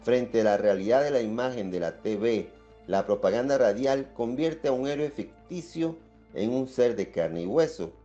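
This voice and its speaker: A man giving scripted documentary narration in a steady, even tone. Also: mid-range voice, moderate pace, highs cut off, soft background music.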